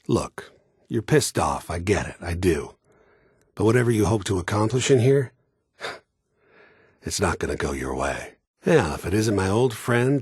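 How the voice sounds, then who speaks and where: An actor playing a cold, world-weary middle-aged American mercenary in a video game, with a gravelly, raspy voice and a laconic, sardonic, quietly menacing manner. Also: male voice, deep voice, no echo, clean studio sound, full character voice. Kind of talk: video game voice acting, cinematic monologue.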